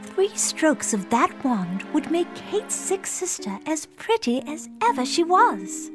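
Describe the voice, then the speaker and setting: A storybook fairy, put on by a woman narrator, speaks in a very high, lilting voice. She shares magical secrets in fairy-tale fashion, with music underneath. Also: very animated, slow pace, exaggerated voice. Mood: airy, knowing. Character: female fairy gossiping about magic cures.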